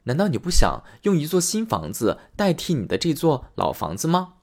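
A man voicing God disguised as a traveler, speaking calmly and graciously. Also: on the high side, normal speed, husky, pitched above the performer's own voice, exaggerated voice. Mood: benevolent. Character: God in disguise, calm and benevolent.

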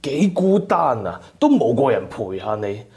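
A man's voice: medium pitch, sing-song, a little slow, a little mellow. A man with a Cantonese accent playing a caring, anxious older father in a radio drama. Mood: coaxing, anxious. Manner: fussing, coaxing.

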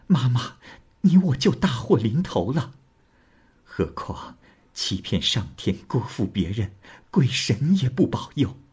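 A man's voice: mid-range voice, darkish tone, breathy edge. A man voicing a remorseful young woman courtesan who speaks earnestly and pleadingly.

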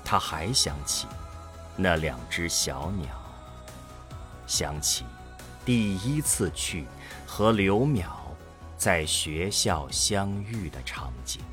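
A man's voice: low voice, expressive, takes it slowly, a bit bright, faint music behind. A man narrating an audio drama from a script in a grave, dramatic manner.

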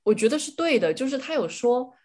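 A woman's voice: high-pitched, big pitch swings, fairly quick, a little mellow. A woman co-hosting a podcast, discussing things casually in an earnest, reflective way. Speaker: podcast co-host.

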